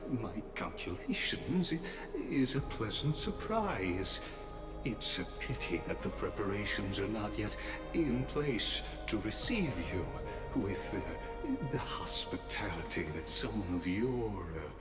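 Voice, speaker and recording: An actor voicing an ancient, enigmatic male watcher in a video game, speaking with formal, measured politeness and deliberate pauses. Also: lowish voice, lively intonation. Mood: apologetic, polite. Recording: echoing space, music underneath.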